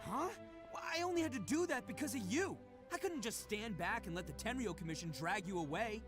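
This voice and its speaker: A loud, cocky oni boss in a video game. His voice sits high and swings widely, full of swagger, with game music playing softly under the lines. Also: animated, a little fast. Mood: indignant. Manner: boisterous, swaggering, shouts battle cries. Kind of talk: studio game voice acting. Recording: game audio with background music.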